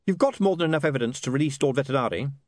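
A man voicing a defiant young newspaperman with sharp, quick comebacks. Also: mid-range voice, expressive, dry recording, studio quality, pitched above the performer's own voice, natural voice.

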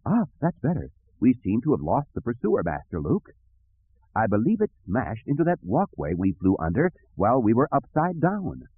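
A man's voice: medium pitch, huge pitch swings, normal speed, breathy edge, dry recording, narrow, tinny audio, voice pushed up, exaggerated voice. A man voicing a fussy, anxious protocol droid with a prim, formal manner in an audiobook. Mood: relieved, prim.

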